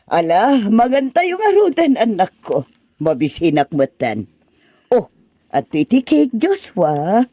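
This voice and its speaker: A man's voice: high voice, wildly swooping pitch, unhurried, mellow, dry recording, exaggerated voice. A man playing a doting, motherly woman in a radio drama, fussing affectionately over children. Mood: cheerful, doting.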